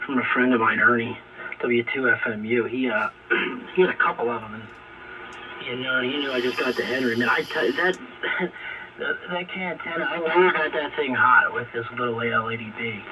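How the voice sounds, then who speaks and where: A man's voice: mid-range voice, moderate pace. A man chatting casually and informally in slang as an amateur radio operator over an AM shortwave link. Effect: AM shortwave radio transmission. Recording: phone-like, distant, noisy AM radio signal.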